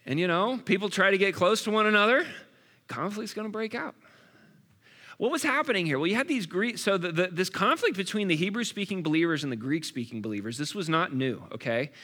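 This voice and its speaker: Male preacher, slightly high, bright and forceful, chatty sermon delivery, long hall reverb. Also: lively intonation, brisk. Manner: conversational, casual asides. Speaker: pastor.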